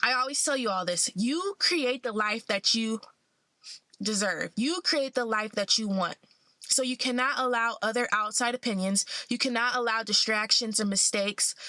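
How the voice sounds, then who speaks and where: A woman's voice: a little high, pushed and bright, no echo. A young woman who sounds a bit congested, talking in a casual, upbeat and encouraging way to her vlog followers. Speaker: vlogger.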